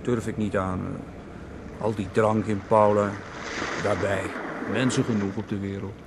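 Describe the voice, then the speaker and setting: Hesitant younger brother, noisy recording. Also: male voice, lowish voice, level tone, measured pace, gravelly. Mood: glum. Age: 30s. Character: doubting, needling younger brother in his thirties. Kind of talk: naturalistic radio play dialogue. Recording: noisy old radio play recording.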